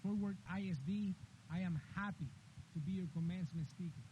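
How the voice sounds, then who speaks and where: A man's voice: high voice, fairly quick, mellow. A man from Honduras giving an earnest commencement speech with repeated emphatic phrases. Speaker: commencement speaker. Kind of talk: graduation speech. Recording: dull lo-fi with faint hiss.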